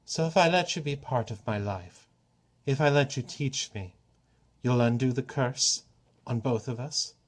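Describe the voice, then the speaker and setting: Guarded storybook daughter, voiced by a male reader, lifted pitch, skeptical, direct, questioning. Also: a little slow, close to an everyday voice. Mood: wary. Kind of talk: storybook character reading.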